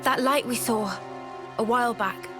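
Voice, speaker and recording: A woman playing a sincere, determined young soldier in a video game, earnest and soft-hearted. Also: on the high side, normal speed, natural voice. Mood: pained, earnest. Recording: music behind.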